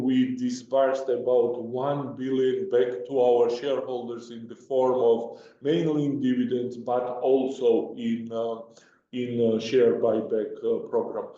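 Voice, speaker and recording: A very dark, muted male voice gives an earnings call presentation. He speaks haltingly, often pausing and repeating words. The sound is muffled and heavily echoing. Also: mid-range voice. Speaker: company executive presenting financial results. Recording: muffled, very echoey conference call audio.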